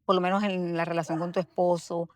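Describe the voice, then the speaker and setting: Presentadora de voz algo grave. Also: female voice, on the low side, little pitch movement, brisk. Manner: prompting and affirming the guest with short echoes. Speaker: interview host. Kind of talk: conversational interview.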